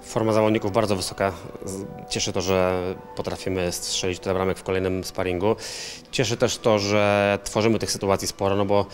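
A man's voice: low-pitched, little pitch movement, brisk, hardly any pauses, soft background music. An assistant football coach in his thirties answering post-match questions in a hotel lounge, often repeating words as he searches for phrasing. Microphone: handheld interview mic.

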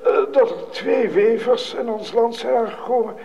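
A man playing a nervous, flattering first minister who hesitates and stammers in a Dutch audio fairy tale. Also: very high-pitched, moderate pace, creaky, muffled recording, slight background noise. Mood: deferential, hesitant.

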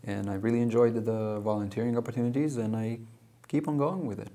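A man in his thirties, from Russia and Israel, answering questions as a guest in a TV studio interview, halting with many fillers and restarts. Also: mid-range voice, steady tone, mellow. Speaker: club president and guest. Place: small TV studio.

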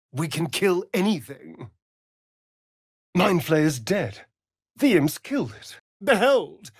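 A man's voice: on the high side, breathy edge, no room sound, clean studio sound, heavily acted. A man with a British accent voicing a vain, sardonic, seductive vampire rogue in a fantasy video game, with an arch, flamboyant, mocking manner.

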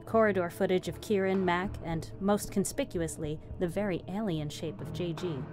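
A woman's voice: on the low side, steady tone, moderate pace, darkish tone. A woman doing scripted narration, reading stage directions as a narrator. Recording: music under the narration.

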